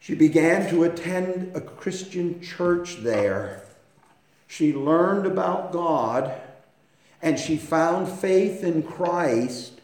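A man preaching a sermon, swinging between hushed storytelling and loud, impassioned emphasis. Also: a little high. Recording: echoey room with faint noise.

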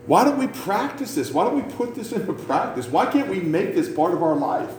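A man's voice: sits high, sing-song, fairly quick, a little mellow, a little hiss or hum. A man preaching a sermon to a church congregation in a conversational, self-mocking, teasing manner. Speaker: pastor. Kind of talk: sermon with joking asides. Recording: roomy hall with faint ambience.

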